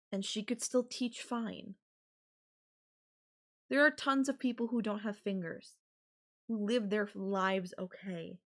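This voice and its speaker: A woman playing an obsessive, possessive, murderous girlfriend in an ASMR audio roleplay, speaking deliberately with drawn-out phrases and pauses. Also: medium pitch, natural voice.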